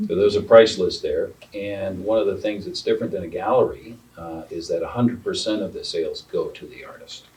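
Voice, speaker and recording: A man's voice: low voice, fairly quick, hardly any pauses, a little mellow. A man interviewing artists on a panel at an art center, chatty and explaining with asides. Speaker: interviewer, founder of the arts organization. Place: art center gallery room. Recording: distant mic with faint room noise.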